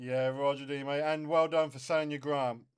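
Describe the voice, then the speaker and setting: An English man chatting casually as a CB radio operator, halting and repeating his words. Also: mid-range voice, steady tone, a little hiss or hum. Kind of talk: casual radio chat between hobbyists.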